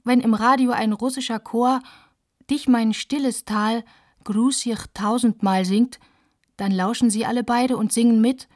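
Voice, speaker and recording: An actress plays a wry granddaughter looking back on family life after the war. Her voice sits fairly high. She narrates in spare, deliberate literary phrases for a radio play. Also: steady tone, a little mellow, no echo, studio quality, natural voice. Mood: wry, reflective.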